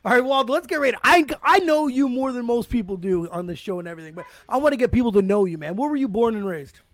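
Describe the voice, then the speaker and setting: A man's voice: extremely high pitch, animated, fast. A man hosting a casual talk show, chatting in slangy language and swearing freely. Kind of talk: casual chat, interviewing.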